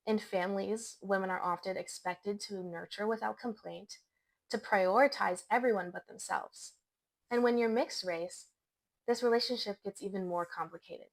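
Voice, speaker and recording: A young woman reading a scripted personal essay as a student presenter, in an earnest, measured way. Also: medium pitch, vocal fry. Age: young adult.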